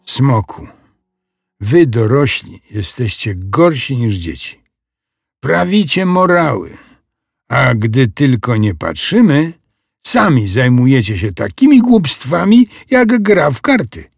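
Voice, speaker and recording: A man's voice: mid-range voice, very animated, slow, vocal fry, husky, no echo, studio quality, voice pushed up, full character voice. A man voicing an upset, scolding little girl in a children's audio story, with stern, emphatic delivery.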